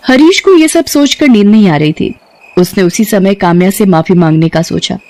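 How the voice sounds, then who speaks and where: A woman's voice: mid-range voice, expressive, moderate pace, dark tone. A woman working as a narrator, reading a scripted story.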